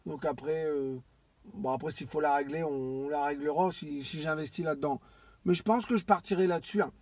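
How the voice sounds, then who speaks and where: Rambling man with a higher-pitched voice. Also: steady tone, brisk, a little mellow, no echo. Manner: rambling, hesitant, repeats himself. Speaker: amateur CB radio operator. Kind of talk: casual radio chat.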